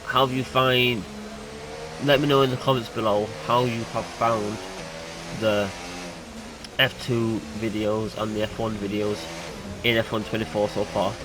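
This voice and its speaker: Nasal young gamer, noisy room. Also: male voice, on the low side, measured pace. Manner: chatty, excitable. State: hay fever. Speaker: gaming YouTuber. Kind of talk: casual gameplay commentary. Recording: noisy home recording.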